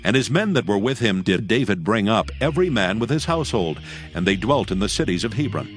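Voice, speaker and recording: A male narrator reads ancient scripture in a lowish voice. His phrasing is solemn and measured, with faint music playing underneath. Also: very animated.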